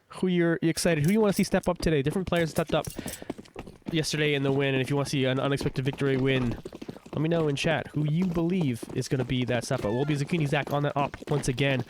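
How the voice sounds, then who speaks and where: A young man with a cold calls a shooter match on his own stream, rambling and chatty as he restarts his sentences. His voice sits mid-range, and loud gunfire and clicks from the game run clearly behind him. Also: mid-range voice, noisy background. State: has a cold, stuffy. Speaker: esports shoutcaster. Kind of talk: casual play-by-play commentary on a livestream.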